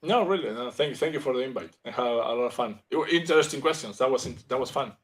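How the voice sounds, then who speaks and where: A man with an Argentinian accent chatting as a guest artist, halting and restarting as he searches for English words. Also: medium pitch, expressive. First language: Spanish. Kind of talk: casual chat.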